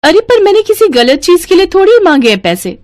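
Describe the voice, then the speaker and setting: A woman's voice: very high-pitched, very animated, brisk, no room sound, clean studio sound, pitched above the performer's own voice. A woman voicing a young, overworked newly married daughter-in-law in a cartoon story, earnest and easily fed up. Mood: fed up, insistent. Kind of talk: Hindi cartoon story dialogue.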